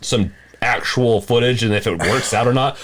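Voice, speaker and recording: A man's voice: mid-range voice, normal speed, noisy background. A man chatting casually as a podcast co-host, rambling a bit and rephrasing himself as he goes. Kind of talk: casual chat.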